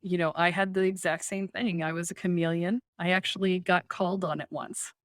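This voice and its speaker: A woman guest on a podcast interview, a spiritual coach who talks in an animated, emphatic way, often tags "right?" and laughs easily. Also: fairly low pitch, fairly quick, no echo, studio quality.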